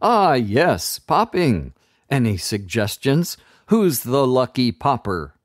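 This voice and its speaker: A man's voice: medium pitch, big pitch swings, slow pace, dry recording, clean studio sound, pitched above the performer's own voice. A man voicing a tall, raging fire wizard who shrieks and gloats with menace. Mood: gloating.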